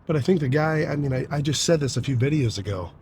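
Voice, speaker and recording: A young man with a stuffy, congested voice, talking casually to camera about training, swearing freely and clearing his throat often. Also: a little high, animated, fast, darkish tone, slight background noise. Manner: laid-back, rambling, swears freely, frequent throat clearing. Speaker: bodybuilding vlogger. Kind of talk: casual vlog talk to camera.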